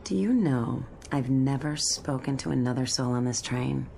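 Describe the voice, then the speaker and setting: An actress playing a friendly, curious and lonely American train commuter in a radio drama, wistful and tentative. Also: female voice, extremely low pitch, moderate pace, creaky, mellow, a little hiss or hum. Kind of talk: modern radio drama acting.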